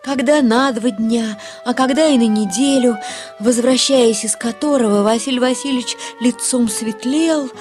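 A woman narrating a scripted short story for radio, expressive and wry in her storytelling. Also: a little high, normal speed. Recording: music behind.

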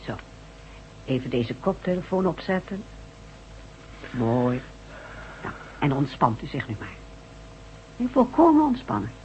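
An actress playing a brisk, courteous and soothing nurse in a radio drama, politely giving instructions. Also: female voice, deep voice, wildly swooping pitch, mellow, exaggerated voice. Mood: soothing, efficient. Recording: dull, noisy radio drama recording.

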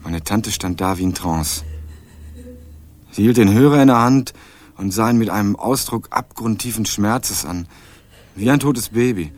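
A man's voice: on the low side, a little mellow. Dry wry young man narrating a crime story. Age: young adult. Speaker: first-person narrator and lead. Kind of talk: radio crime drama narration, dry ironic.

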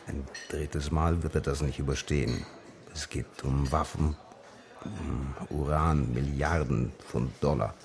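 An actor in a radio drama playing a middle-aged, grey-haired man who speaks with measured, grave courtesy in a gravelly voice. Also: fairly even intonation, a little mellow, a little hiss or hum. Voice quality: gravelly, very deep. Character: grey-haired, decisive, courteous male acquaintance bearing grave news.

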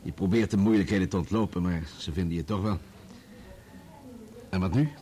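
An actor playing an evasive journalist who excuses himself in a placating, reasoning manner in a Dutch radio play. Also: male voice, low-pitched, fairly quick, mellow, lightly acted. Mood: defensive, apologetic. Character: evasive, self-excusing male journalist, caught betraying friends.

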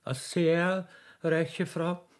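Man putting on a gushing, high-pitched Viennese widow. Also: level tone. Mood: coy, wheedling. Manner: halting, wheedling, folksy. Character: simpering rich widow of a butcher, folksy and lovesick.

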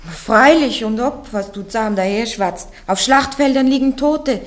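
A woman's voice: a little high, measured pace, natural voice. An actress playing a young peasant girl soldier on trial, answering bluntly and defiantly in a classic radio play. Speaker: accused on trial.

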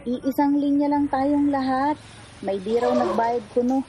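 A woman's voice: high-pitched, a little slow, noisy background. An actress playing a poor, weary and baffled mother from a slum in a radio drama. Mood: weary, exasperated.

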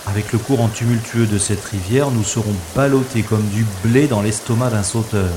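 A man's voice: on the low side, natural voice. A man playing a sardonic special agent on the run in a French audio drama, talking half to himself. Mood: resigned, resolute. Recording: noisy, with rushing water effects.

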